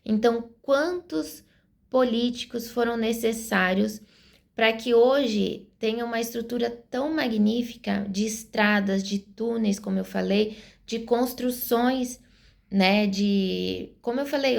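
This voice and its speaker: Gravelly-voiced Brazilian woman in her forties. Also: a little high. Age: 40s. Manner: earnest, explanatory, thinks aloud as she goes. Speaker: vlogger. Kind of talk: opinion monologue to camera.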